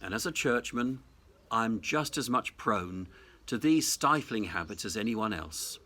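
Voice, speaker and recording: A man, a senior Anglican clergyman, delivering a reflective Easter sermon in a measured, deliberate, formal way. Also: lowish voice, expressive, sharp tone. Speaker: Anglican cathedral dean giving Easter message. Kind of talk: reflective sermon, prepared address.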